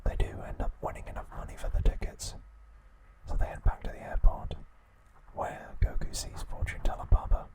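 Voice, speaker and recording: A man whispering softly and deliberately in short phrases, narrating a recap in ASMR style with a breathy, unvoiced voice. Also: poor audio. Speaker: host of an ASMR channel.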